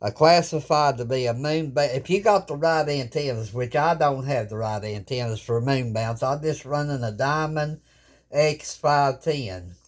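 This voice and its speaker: Folksy man in an unhurried, rambling demo of his radio, filmed on a phone at his home desk. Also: medium pitch. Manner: rambling, folksy, plain-spoken with many restarts. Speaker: ham radio operator. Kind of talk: casual show-and-tell of radio gear.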